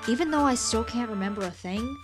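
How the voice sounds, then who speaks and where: A woman's voice: on the high side, lively intonation, brisk, over music, lightly acted. An actress playing a sassy teenage girl in a small creature's body in an audio drama, with a wry, casual delivery. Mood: easygoing. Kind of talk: audio drama character acting.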